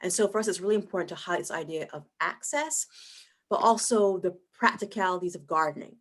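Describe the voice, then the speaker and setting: Low-voiced woman from Barbados, measured panelist. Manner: thoughtful, measured, frequent "um" and "and so". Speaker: museum staff panelist. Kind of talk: online panel discussion.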